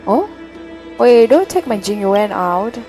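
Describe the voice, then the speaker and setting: An excitable, giggly woman livestreamer casually reacting to a video game, with game music underneath. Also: medium pitch, slow.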